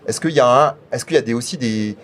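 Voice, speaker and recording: A man's voice: mid-range voice, fast, a little hiss or hum. A man interviewing a guest off the cuff, feeling his way through long, roundabout questions. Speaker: radio show interviewer.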